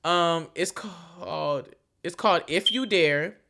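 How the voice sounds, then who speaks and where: A woman's voice: lowish voice, slow pace, a bit bright. Frank, slangy young woman podcasting solo. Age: 20s. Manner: slangy, frank and profane, with frequent "you feel me" asides; loose and rambling, with restarts. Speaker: podcast host. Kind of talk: casual solo podcast chat.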